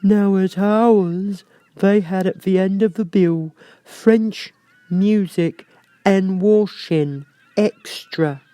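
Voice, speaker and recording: A man voicing a mournful, self-pitying old turtle creature in a storybook reading, with drawn-out, sighing, doleful delivery. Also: sits high, fairly even intonation, warm tone, no room sound, pitched above the performer's own voice. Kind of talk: storybook character voice.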